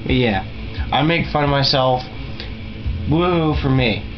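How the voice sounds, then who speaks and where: A man chatting casually to camera as an amateur video blogger, rambling and halting, with a self-deprecating manner. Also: mid-range voice, over music. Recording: dull lo-fi webcam-style sound, faint noise.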